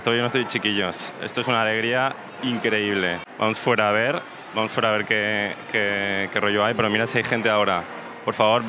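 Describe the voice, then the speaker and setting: A man's voice: fairly low pitch, background noise. A man, vlogging a walking tour of a crowded indoor exhibition hall. He talks eagerly and straight to his viewers into a handheld mic held at his mouth. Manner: enthusiastic, addresses viewers directly. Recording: music playing under the voice.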